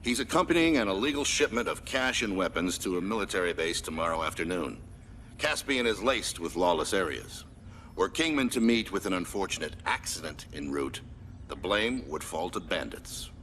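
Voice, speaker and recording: An actor playing a stern military commander in a video game, giving measured, authoritative mission briefings. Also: male voice, low-pitched, animated, fairly bright tone, a little hiss or hum. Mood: grave. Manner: measured, authoritative, matter-of-fact.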